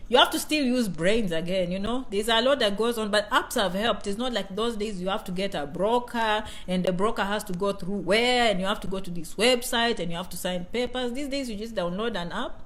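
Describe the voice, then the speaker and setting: A woman with a Kenyan accent, chatting casually on a livestream as a vlogger, reading comments and often asking "you know?". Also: medium pitch. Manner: chatty, repeats herself, keeps asking "you know?" and "okay?".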